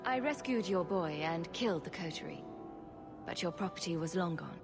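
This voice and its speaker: A woman's voice: fairly low pitch, animated, normal speed, over music. An actress playing a blunt, flippant female adventurer in a dramatic video game, with a wry delivery. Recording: reverberant hall with ambient noise.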